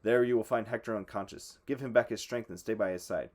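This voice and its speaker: A man's voice: fairly low pitch, fast, a little mellow, close to an everyday voice. A man voicing the angry male king of the gods issuing stern decrees.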